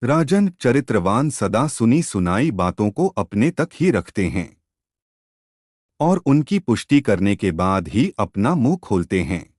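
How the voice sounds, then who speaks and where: A man voicing a wise, composed royal minister and teacher in a folk-tale audiobook, speaking in a measured, instructive, solemn manner. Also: on the low side, big pitch swings, darkish tone, no echo, studio quality. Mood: calm, instructive.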